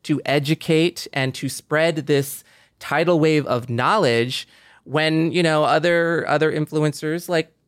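A man's voice: fairly high pitch, measured pace, smooth, no echo, clean studio sound. A man hosting a podcast in a casual conversational style, speaking in an earnest, encouraging way. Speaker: podcast host.

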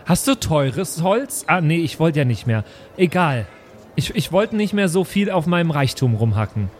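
A man playing a naive, trusting, well-meaning fellow in an improvised tabletop game, speaking haltingly and earnestly. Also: a little high, big pitch swings, a little hiss or hum.

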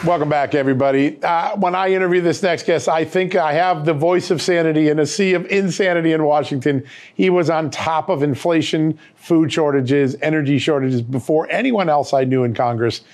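A middle-aged American man speaks as a broadcast host, with a fairly high voice and heavy creak at the edges. His delivery is emphatic and folksy, pitched straight at the listener. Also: fairly quick, darkish tone. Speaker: host and interviewer. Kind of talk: political interview and sponsor ad reads.

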